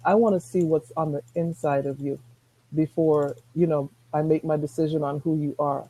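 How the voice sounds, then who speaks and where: A middle-aged woman with a soft-spoken voice, talking earnestly as a guest on a video call, rambling with restarts. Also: low voice, fairly even intonation, moderate pace, rough recording. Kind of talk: casual chat.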